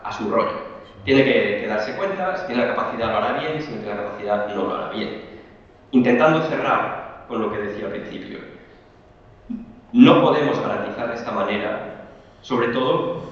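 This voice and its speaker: A man's voice: medium pitch, a bit bright. A man giving an academic conference talk over a video link, often repeating words as he thinks. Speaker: conference speaker. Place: remote video call. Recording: echoey distant lo-fi video call.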